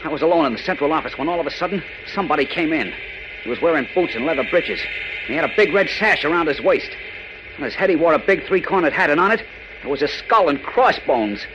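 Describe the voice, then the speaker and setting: A man's voice: fairly high pitch, expressive, quick pace, lo-fi sound, lightly acted. An actor playing a shaken, incredulous male subway dispatcher in a 1940s radio drama, agitated and insistent. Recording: noisy old radio recording.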